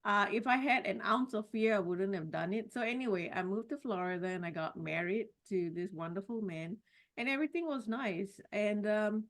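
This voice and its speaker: A middle-aged woman from Singapore, a podcast guest telling her life story in a casual, reflective way with many pauses and restarts. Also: mid-range voice. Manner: warm, reflective, with frequent "you know" and restarts. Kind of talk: personal story in a casual interview.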